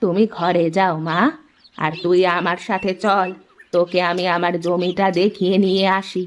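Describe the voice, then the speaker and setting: A woman voicing a kindly, motherly old village woman who speaks in a slow, drawn-out way, for a cartoon. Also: on the low side, level tone, a little hiss or hum, voice pushed down, close to an everyday voice. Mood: gentle, instructing.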